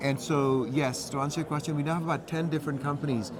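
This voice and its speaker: A man talking fast and eagerly through technical details, his voice sitting a little high. He is recorded on a busy trade show floor, with a crowd murmuring all around. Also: fairly even intonation. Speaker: company representative. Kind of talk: trade show product interview.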